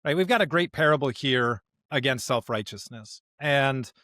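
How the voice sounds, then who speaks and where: A man co-hosting a podcast in a conversational discussion of scripture, speaking emphatically in halting bursts and often checking in with a rhetorical question. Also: medium pitch, lively intonation, sharp tone, dry recording, clean studio sound. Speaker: podcast co-host.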